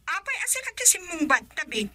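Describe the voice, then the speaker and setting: An actress with an Ilocano Filipino accent playing a sharp, suspicious wife on a phone call in a radio drama. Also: female voice, very high-pitched, very animated, fast talker, a little hiss or hum, exaggerated voice. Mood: suspicious, scolding.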